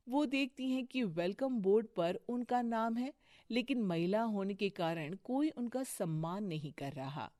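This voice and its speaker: A woman's voice: medium pitch, lively intonation, unhurried, warm tone, dry recording, studio quality. A woman narrating a scripted moral story.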